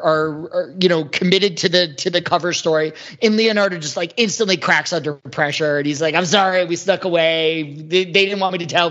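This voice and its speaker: A man talking casually as a podcast panelist, rambling and halting as he repeats words to build a point. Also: a little high, fairly bright tone. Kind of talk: casual pop-culture discussion. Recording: dull lo-fi audio.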